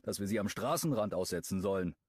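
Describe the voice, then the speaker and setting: A man's voice: low-pitched, lively intonation, fast, husky, no room sound, studio quality. A man voicing an exasperated young man who grumbles about his unruly dog.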